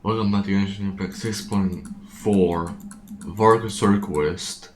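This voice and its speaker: A man commentates casually over a video game as a streamer. His voice is very deep and unhurried. The room is echoey, with faint music behind. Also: steady tone. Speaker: gameplay streamer. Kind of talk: casual game commentary, reading dialogue choices aloud.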